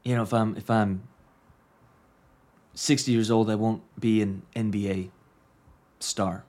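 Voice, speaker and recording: A man in his thirties talks through a coaching podcast episode in a low-pitched voice. He speaks earnestly and hammers points home by repeating phrases. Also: steady tone, a little slow, a little mellow. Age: 30s. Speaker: podcast host and business coach. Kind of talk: casual reflective monologue.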